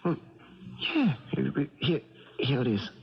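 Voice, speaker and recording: An actor playing an uneasy, bewildered young boxer, halting and earnest, in a 1970s radio drama. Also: male voice, fairly high pitch, big pitch swings, slightly rough, full character voice. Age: young adult. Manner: halting, repeats himself when unsure. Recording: dull vintage radio recording.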